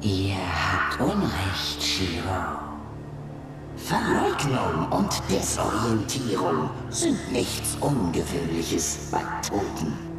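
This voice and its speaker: An actress playing an ominous otherworldly spirit who judges the dead in a video game, speaking deliberately. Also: female voice, extremely low pitch, very animated, exaggerated voice. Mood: calm authority. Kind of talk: dramatic video game acting. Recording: very echoey, distant, loud music.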